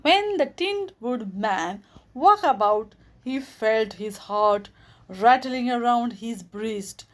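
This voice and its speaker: A woman with an Indian accent narrating a children's storybook as host, in an expressive storytelling manner. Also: on the high side, slow pace.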